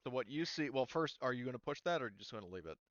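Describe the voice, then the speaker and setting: A man's voice: mid-range voice, lively intonation, racing, fairly bright tone, no room sound, muffled recording. A man speaking casually as game master, narrating scenes and making rulings in an informal way.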